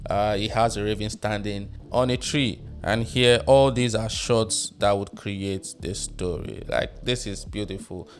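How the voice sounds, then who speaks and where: A man in his thirties narrating a casual software tutorial on a close mic. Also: fairly low pitch, fairly even intonation, a little slow, few pauses, slight background noise. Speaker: tutorial host. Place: small room at home. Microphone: close studio microphone on boom arm. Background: music.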